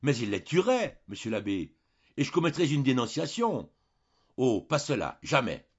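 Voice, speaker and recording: A man's voice: mid-range voice, sing-song, normal speed, breathy edge, dull audio, voice pushed up. A man voicing a timid, distressed countess, a wronged wife who pleads plaintively and helplessly. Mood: pleading, frightened.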